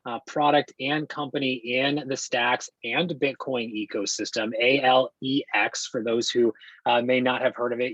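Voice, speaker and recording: A man hosting an interview, introducing his guest in a friendly, measured way. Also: medium pitch, fairly bright tone, no echo, muffled recording. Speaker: podcast host.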